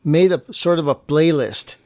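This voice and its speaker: A man's voice: fairly high pitch, normal speed, no room sound. Casual man chatting, dry close sound. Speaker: guest on partner's family show. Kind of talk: casual chat, anecdotal storytelling.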